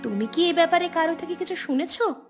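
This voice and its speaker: Woman playing a high-voiced, probing village wife. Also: expressive, fast. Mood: concerned, probing. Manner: questioning, insistent. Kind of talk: cartoon drama acting. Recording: music clearly behind the voice.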